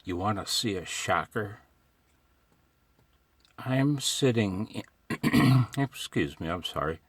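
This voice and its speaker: A man's voice: on the low side, lively intonation, slow, breathy edge, no room sound. An older American man rambling casually to himself on a vlog from inside a parked truck, with a wry, folksy manner. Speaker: amateur radio hobbyist vlogger.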